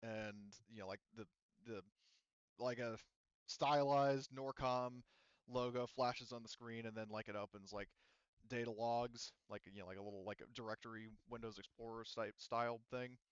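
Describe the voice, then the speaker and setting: Male game master, smooth clear voice, halting explanatory delivery, dry but slightly dull recording. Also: mid-range voice, steady tone, no echo. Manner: halting, explanatory, hedging with asides. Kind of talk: improvised tabletop game narration and rules talk. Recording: muffled, dull microphone sound.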